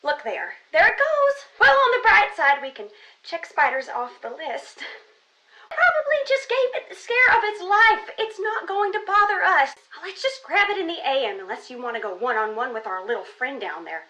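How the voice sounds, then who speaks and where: A woman's voice: very high voice, very animated, moderate pace, bright, forceful tone, exaggerated voice, poor audio. An actress playing a playful, theatrical young woman who hunts ghosts in a video game, with a dramatic, teasing and sarcastic delivery. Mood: playful, wry. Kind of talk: scripted game voice acting.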